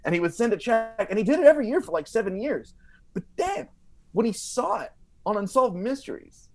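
A man telling comedic stories as a podcast guest, casual and animated, acting out quotes as he goes. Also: high-pitched, brisk. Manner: animated, acts out quotes with frequent like and you know.

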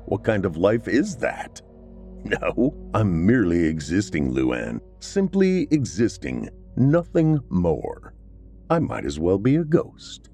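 Man playing a self-pitying vampire man, anguished, melodramatic, mellow, lively. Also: low-pitched, slow pace, faint music behind, exaggerated voice. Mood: brooding. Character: brooding 300-year-old male vampire, self-pitying.

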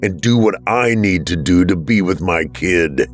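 Man playing an exasperated, low-pitched weary father. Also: lively intonation, unhurried, soft background music. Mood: weary, pleading.

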